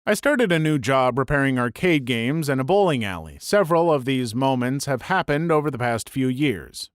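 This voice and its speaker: A man reading a string of online stories aloud as a scripted narration, brisk and even with dry asides. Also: medium pitch, dry recording.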